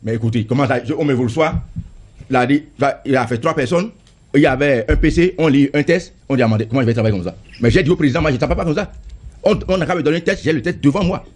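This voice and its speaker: A man's voice: fairly high pitch, fast, darkish tone, husky. A man, a member of parliament arguing in a heated political debate, insistent and often repeating and stammering on words.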